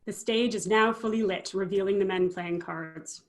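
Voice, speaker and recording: A woman's voice: mid-range voice, normal speed. A woman narrating scripted stage directions for a dramatic play reading.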